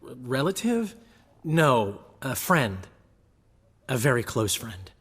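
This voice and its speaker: An actor playing a dramatic, devoted dandy bard in a video game, theatrical and expressive, with a husky, breathy voice. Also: male voice, mid-range voice, takes it slowly, long reverb tail, exaggerated voice. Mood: shocked, distraught.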